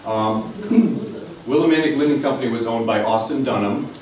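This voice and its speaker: A man's voice: medium pitch, moderate pace, a little mellow, slight background noise. A man in his thirties from Connecticut giving a casual, digressive history talk to an audience in a library meeting room. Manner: chatty, digressive, self-deprecating with many fillers. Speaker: local history lecturer. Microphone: far camera, no mic seen. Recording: roomy echo, distant from the camera.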